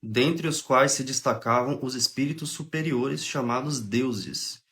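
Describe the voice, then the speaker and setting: A young man with a Brazilian accent and a cold, clearing his throat now and then, hosting a relaxed spiritist study livestream, reading aloud and explaining in a chatty way. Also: medium pitch, measured pace. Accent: Brazilian Portuguese. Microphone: phone or webcam on a livestream.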